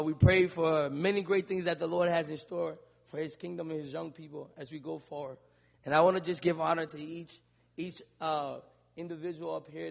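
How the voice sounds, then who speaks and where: High-voiced preacher in an echoing church. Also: male voice, creaky. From Liberia. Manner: rhythmic call-and-response preaching, building to shouts. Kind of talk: sermon. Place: large church sanctuary. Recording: long church reverb.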